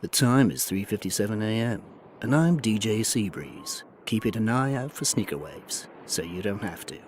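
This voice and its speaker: A man playing a mellow, dreamy late-night ambient radio DJ, delivering soothing, unhurried, hypnotic patter. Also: on the low side, expressive, a little hiss or hum, lightly acted.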